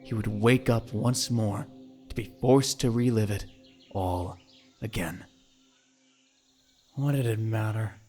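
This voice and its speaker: A man's voice: medium pitch, a little mellow. A man giving scripted, dramatic story narration for an audio drama.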